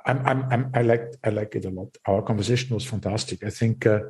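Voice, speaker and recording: A man with an Austrian accent hosting a podcast interview, talking casually and halting over his words with soft laughs. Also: fairly low pitch. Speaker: podcast host.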